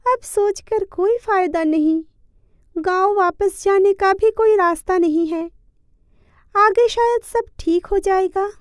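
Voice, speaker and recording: A woman playing a naive, gentle, long-suffering young village wife in an animated moral story, meek and plaintive. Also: extremely high pitch, slow, dry recording, clean studio sound.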